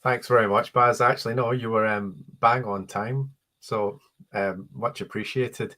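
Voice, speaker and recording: A man's voice: lowish voice, steady tone, sharp tone. A man hosting an online panel, thanking speakers and handing over with a few ums. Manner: friendly, brisk handovers with ums. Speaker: panel host.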